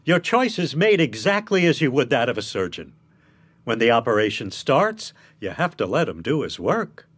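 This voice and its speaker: An actor playing a shrewd, blunt defence lawyer in a radio drama, lecturing in an emphatic, articulate way. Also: male voice, medium pitch, sing-song, normal speed, slightly rough. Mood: blunt, firm.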